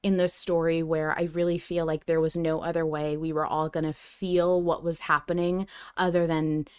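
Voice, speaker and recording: Female podcast co-host, lowish smooth voice, emphatic and enthusiastic in casual fan discussion, close dry sound. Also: flat delivery, moderate pace, no room sound. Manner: effusive, emphatic.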